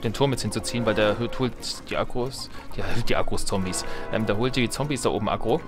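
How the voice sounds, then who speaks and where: A man's voice: on the low side, quick pace. Man thinking aloud over game music. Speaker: let's play host. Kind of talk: casual gameplay commentary. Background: video game music.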